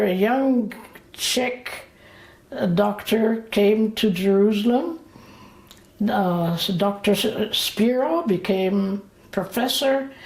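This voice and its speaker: A woman in her late eighties being interviewed about her memories. She talks haltingly, with many fillers and pauses. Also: medium pitch, creaky, a little mellow. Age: late 80s. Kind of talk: slow, reflective memories. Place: indoors. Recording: roomy echo.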